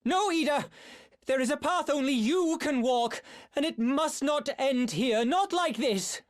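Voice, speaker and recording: A man's voice: very high voice, animated, unhurried. A scholarly game mage with a formal, eloquent turn of phrase. He is proud and wry, with a self-sacrificing resolve, voiced in a dramatic cinematic style. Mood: urgent, commanding. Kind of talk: dramatic video game cutscene acting.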